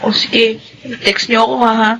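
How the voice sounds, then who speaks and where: An actress with a Filipino accent playing an anxious young adult daughter in a radio drama. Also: female voice, a little high, little pitch movement, normal speed, vocal fry, fairly bright tone, a little hiss or hum, rough recording. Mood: concerned.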